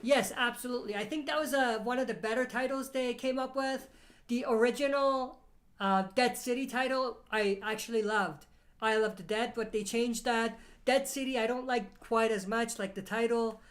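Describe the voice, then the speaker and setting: A woman with a cold hosts a relaxed live Q&A stream, her voice a bit higher than most. She reads viewer questions aloud and talks through her answers in a loose, chatty way, with ums and uhs along the way. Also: moderate pace. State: has a cold. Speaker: livestream host. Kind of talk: casual live Q&A chat.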